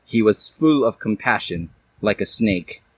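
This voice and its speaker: A man's voice: fairly low pitch, animated, a little slow, slightly rough, no room sound. A man narrating fan fiction as a comic dramatic reading, with a theatrical, mock-serious delivery.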